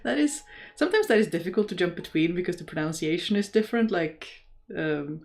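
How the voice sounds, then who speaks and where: A low-voiced woman chats casually to her livestream viewers. She rambles thoughtfully and with real enthusiasm, while soft guitar music plays behind her. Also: expressive, fairly quick. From Norway. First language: Norwegian. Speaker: crafting livestream host. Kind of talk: casual chat, reading and answering live comments.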